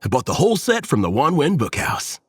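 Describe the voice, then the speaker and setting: Earnest geeky young man for an anime game: highish voice, wildly animated, excitable. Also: a little fast, breathy edge, no room sound, studio quality, heavily acted. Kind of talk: English anime-style video game dub.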